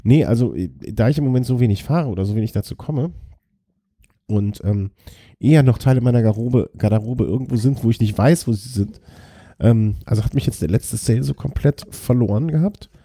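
A man with a gravelly, very dark voice chats loosely with a friend. He jokes around and keeps restarting his sentences. The sound is dry and close. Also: medium pitch, brisk, dry recording. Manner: joking, rambling with many restarts. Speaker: podcast co-host. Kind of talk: casual chat.

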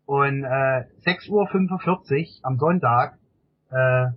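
A middle-aged man with a husky voice answers casually as an interview guest, often repeating words while he searches for phrasing. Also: medium pitch, takes it slowly. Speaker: interview guest, amateur endurance cyclist. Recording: dull, lo-fi interview audio.

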